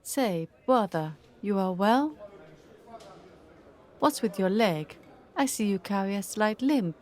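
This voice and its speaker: A woman's voice: mid-range voice, lively intonation, slow pace, a little mellow. An actress playing a headstrong young noblewoman in a radio drama, with earnest, dramatic delivery. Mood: concerned, curious.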